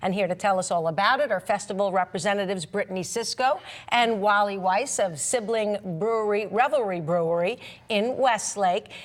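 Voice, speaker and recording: A woman's voice: medium pitch, moderate pace. Playful woman hosting a TV news show. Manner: playful, bantering. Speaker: TV news host. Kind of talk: conversational live news show hosting and interviewing.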